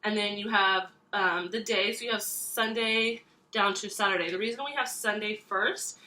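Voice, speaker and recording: A woman's voice: mid-range voice, normal speed, sharp tone, low-quality recording. A young woman in her twenties, congested from a cold, chatting casually to camera about parenting, with many ums and restarts. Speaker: parenting vlogger.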